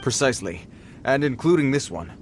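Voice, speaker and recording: An actor playing a polite, cautious adult man in a video game, speaking in a formal, measured way. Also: mid-range voice, sing-song, a little hiss or hum, lightly acted. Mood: serious. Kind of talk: video game character acting.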